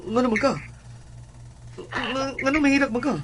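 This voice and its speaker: A man's voice: very high-pitched, steady tone, normal speed. A man with a Filipino accent playing a secretive young man on the phone in an audio drama. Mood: concerned. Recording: clearly noisy track with clicks.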